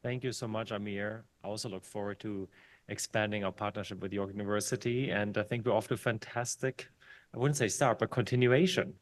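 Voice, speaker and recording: A man's voice: fairly low pitch, fairly even intonation, brisk. A man hosting an event, thanking one speaker and introducing the next with light asides. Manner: friendly, lightly joking asides. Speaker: event host.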